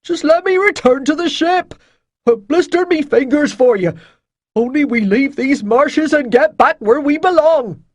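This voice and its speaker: Casual: whiny croaking deckhand with a burr, put on by a reader, stretched-out words. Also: sits high, lively intonation, a little slow, no echo, studio quality, pitched above the performer's own voice. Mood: wailing. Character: rough, uneducated male sailor, sulky and defiant.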